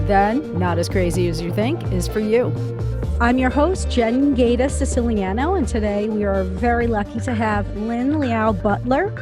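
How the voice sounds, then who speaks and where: A woman hosting a podcast, presenting introductions and putting questions to a guest. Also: medium pitch. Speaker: podcast host and interviewer. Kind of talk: scripted podcast introduction and interview.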